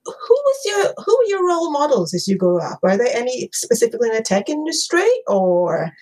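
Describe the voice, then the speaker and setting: A woman's voice: a little high, very animated, a little fast, a little mellow, slightly rough. Encouraging woman podcast host. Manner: encouraging, laughs often. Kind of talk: interview hosting.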